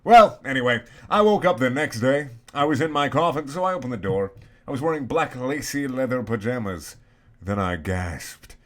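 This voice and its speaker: A man giving a comedic dramatic reading of a story in a halting, mock-serious way. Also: medium pitch, expressive, fairly bright tone. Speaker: reader.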